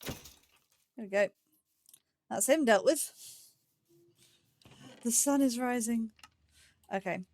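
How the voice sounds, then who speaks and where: A woman hosting a slow game series, chatting to herself as she builds, with phrasing and startled yelps when danger appears. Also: fairly high pitch, a little mellow. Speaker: let's play host. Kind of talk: casual gameplay commentary.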